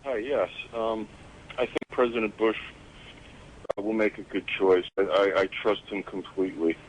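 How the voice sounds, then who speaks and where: Casting: male caller, lowish pitch, halting opinion, phone line with faint noise. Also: fairly even intonation.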